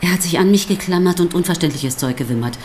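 An actress playing a sardonic, defiant pastor's wife in a radio drama, speaking with provocative, theatrical emphasis. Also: female voice, on the low side, quick pace, darkish tone, slight background noise, close to an everyday voice. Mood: wry, narrating. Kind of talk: German radio drama acting.

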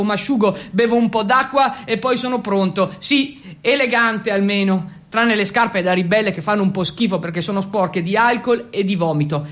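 A man's voice: high-pitched, fairly bright tone. An actor playing a reckless teenage boy, telling his story as a theatrical first-person radio monologue in short, repeated, breathless phrases. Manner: breathless, repetitive, builds intensity in short phrases. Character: reckless teenage exchange boy recounting his wild nights. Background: music.

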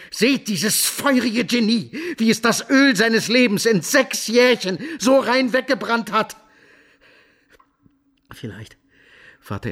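A man's voice: mid-range voice, lightly acted. Man acting a venomous, sarcastic scheming son. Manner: theatrical, insinuating, savoring sarcasm. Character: scheming younger son, falsely devout, venomous and mocking. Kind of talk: German classical radio drama acting.

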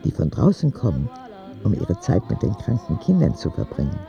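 A man's voice: fairly low pitch, wildly swooping pitch, normal speed, creaky, warm tone, lo-fi sound, faint music behind, exaggerated voice. A man playing an earnest, candid ten-year-old sick boy who narrates his letters in a radio drama. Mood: candid, wistful.

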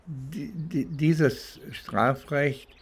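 Slow, deliberate older man from East Germany. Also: on the high side, slightly rough. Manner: deliberate, halting, pausing between phrases. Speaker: legal professional recounting his experience. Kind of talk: reflective spoken recollection, interview-like.

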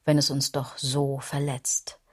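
An actress playing a woman's sardonic, bitter inner voice in a literary radio drama, with a husky sound. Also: rumbling low, monotone, takes it slowly, darkish tone, dry recording, clean studio sound, full character voice.

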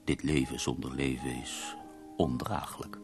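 An actor playing a gravely ill, bitterly ironic poet in a radio drama, speaking in a slow, weary, literary manner. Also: male voice, a bass voice, fairly even intonation, over music. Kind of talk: radio drama monologue and dialogue.

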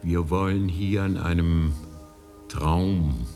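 Give the voice of an ancient, wise monastery elder in a radio play. He speaks very slowly and low, solemn and fatherly, in a long reverberant hall. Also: steady tone, mellow, faint music behind. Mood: solemn, visionary. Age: older. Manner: solemn, deliberate, measured storytelling. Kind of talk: 1980s German radio drama acting.

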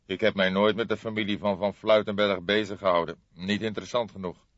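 A man voicing an old grizzled police detective in an audiobook, calm, dry, wry and deliberate. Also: on the low side, no room sound, lo-fi sound, natural voice. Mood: matter-of-fact.

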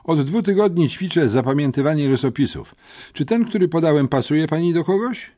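A man's voice: on the high side, lively intonation, brisk, mellow, voice pushed up, natural voice. A man voicing an earnest, talkative schoolboy amateur detective in an audiobook, meticulous and matter-of-fact. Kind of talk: audiobook character reading.

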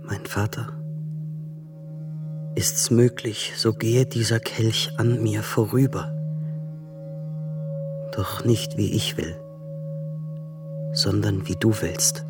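An actor playing a sorrowful, devout Jesus in a German radio play, praying in a solemn, measured way. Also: male voice, fairly low pitch, fairly even intonation, over loud music. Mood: anguished, submissive.

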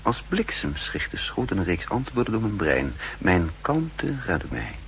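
An actor playing a shrewd, calm spymaster in a 1960s radio play, speaking in a measured, deliberate way. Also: male voice, deep voice, gravelly, full character voice. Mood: tense narrating. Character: shrewd, calm male spy posing as counterintelligence chief. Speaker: lead character and narrator. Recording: hissy, humming 1960s radio recording.

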